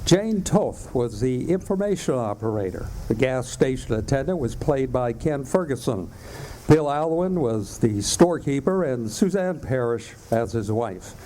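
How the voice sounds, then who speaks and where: A man's voice: mid-range voice, lively intonation, measured pace, dark tone, a little hiss or hum, rough recording. A man announcing for a radio theatre in a formal, scripted, presentational style.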